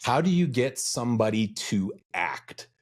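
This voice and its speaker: A man's voice: mid-range voice, big pitch swings, a little slow. A middle-aged man from the United States, a guest on an interview podcast, talking in an earnest, reflective, self-questioning way. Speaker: podcast guest, freelance educator. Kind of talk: conversational interview.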